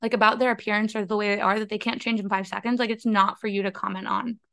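A young woman from the United States hosting a casual podcast conversation, chatty and candid with quick asides. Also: medium pitch, level tone, gravelly, a bit bright. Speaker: podcast host.

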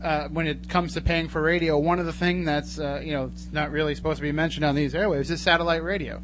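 A man's voice: a little high, fairly even intonation, fast talker. A man hosting a talk radio show, speaking casually as he interviews a guest. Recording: noisy radio broadcast with hum.

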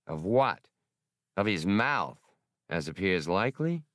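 A man's voice: sits low, big pitch swings, slow pace, a bit bright, dry recording, studio quality, full character voice. A man voicing a sneering reptilian bodyguard and killer with a mocking way of talking, in an audiobook. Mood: jeering. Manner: jeering, mocking, oddly inverted syntax.

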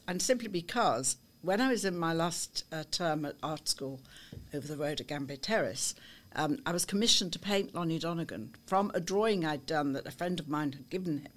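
An older woman with a Liverpool accent, a podcast guest recalling her youth in a chatty, storytelling way with frequent pauses and ums. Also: on the low side, fairly bright tone. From Liverpool, England. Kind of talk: reminiscing interview. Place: small office studio.

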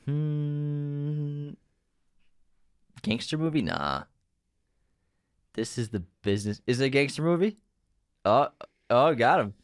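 A man's voice: a little high, expressive, takes it slowly, pauses often, smooth, no echo, clean studio sound. A man co-hosting a casual movie podcast, enthusiastic as he runs the quiz games. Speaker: podcast co-host.

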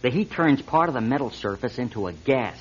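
Insistent lab technician, radio drama, lively swooping pitch, halting then emphatic, muffled old broadcast sound. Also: male voice, husky. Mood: earnest, explaining. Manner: hesitant, then urgent and emphatic. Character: earnest, insistent male auto lab technician turned whistleblower. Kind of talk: 1970s-style radio drama acting. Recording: dull lo-fi radio recording.

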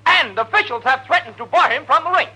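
An actor playing a crusading sports commentator on tape playback, declaiming accusations like a 1940s broadcaster. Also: male voice, very high-pitched, animated, quick pace, pushed and bright, full character voice, rough recording. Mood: accusing.

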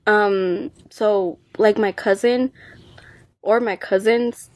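A woman's voice: mid-range voice, fairly even intonation, takes it slowly, dry recording. A young woman co-hosting a podcast in easygoing casual chat, quoting herself and slipping into Spanish. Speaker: podcast co-host.

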